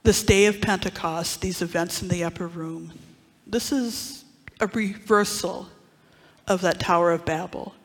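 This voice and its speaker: A preacher from northern Wisconsin delivers a sermon at an unhurried pace, lingering on key phrases. The voice alternates between full projection and near-whispered asides. A long reverb trails after every line, as in a large church sanctuary. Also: medium pitch, steady tone. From northern Wisconsin, USA. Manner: deliberate, with dramatic pauses and hushed asides.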